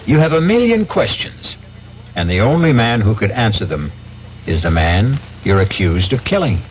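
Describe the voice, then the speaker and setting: A man hosting a radio drama with ominous, deliberate scripted narration. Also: on the low side, sing-song, slight background noise. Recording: dull vintage radio broadcast with music.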